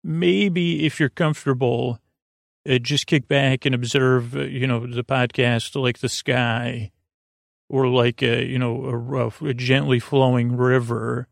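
Creaky, meandering bedtime podcast man. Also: medium pitch, steady tone, dry recording, clean studio sound. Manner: meandering, halting, stammering, repeating words; soothing, lulling. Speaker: podcast host. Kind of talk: rambling bedtime monologue to lull listeners to sleep.

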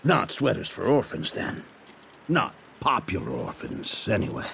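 Gravelly, sardonic noir detective. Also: male voice, fairly low pitch, huge pitch swings, fairly bright tone, a little hiss or hum, exaggerated voice. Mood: dry, wry. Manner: dry, wry, measured delivery. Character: sardonic, world-weary 1930s male private detective. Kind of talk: scripted noir audio drama acting.

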